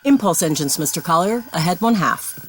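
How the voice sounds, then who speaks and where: A woman playing a confident, good-humoured starship captain in a science fiction audio drama, brisk and commanding. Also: on the low side, expressive. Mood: commanding, assured.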